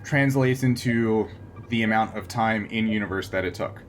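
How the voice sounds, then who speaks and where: A man acting as game master, explaining tabletop game rules off the cuff in a halting, explanatory way. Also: on the low side, slight background noise.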